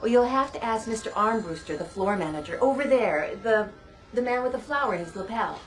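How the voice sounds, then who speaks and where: An actress playing a brisk, everyday woman in a busy department store in a radio drama. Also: fairly high pitch, lively intonation, faint music behind, lightly acted, rough recording. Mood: helpful, busy.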